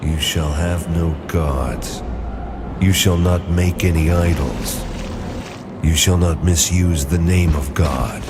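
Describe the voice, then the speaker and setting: An actor playing a grim, bitter dead man in a video game, gruff, curt, brooding and foul-mouthed. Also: low-pitched, slow pace. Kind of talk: video game cutscene acting. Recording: voice under loud game music.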